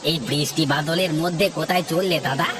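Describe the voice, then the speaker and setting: A man voicing a chatty, idle village friend in an animated fairy tale, folksy and exclamatory. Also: on the high side, fast, background noise, natural voice.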